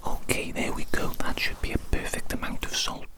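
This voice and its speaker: An ASMR performer playing a chaotic evil doctor in a breathy whisper, fussing dotingly and repeating words and phrases. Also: a little fast.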